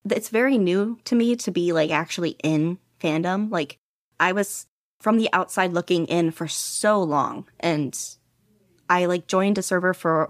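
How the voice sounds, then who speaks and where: A woman guest chatting candidly in an interview, rambling and self-deprecating with quick laughs. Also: lowish voice, dry recording, clean studio sound. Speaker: podcast guest, podfic reader. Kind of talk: casual interview chat.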